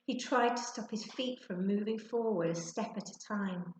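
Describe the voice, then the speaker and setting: A woman's voice: medium pitch, moderate pace, a little mellow, slight background noise. A woman narrating a children's storybook expressively, like a teacher reading to her class. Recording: echoey, roomy sound.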